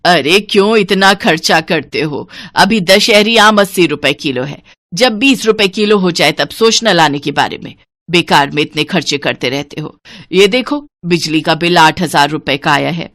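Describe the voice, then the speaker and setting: A low, dry-recorded voice of a miserly older mother-in-law in a family storybook tale, acted by a woman. She is bossy and cunning, scolding and commanding as she guards every penny. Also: moderate pace, fairly bright tone, no room sound. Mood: annoyed, firm.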